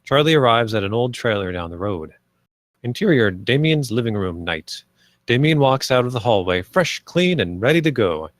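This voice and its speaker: A man's voice: mid-range voice, big pitch swings, normal speed, dry recording. Man narrating screenplay directions, dry sound. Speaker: reader of stage directions. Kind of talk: scripted narration at a screenplay table read.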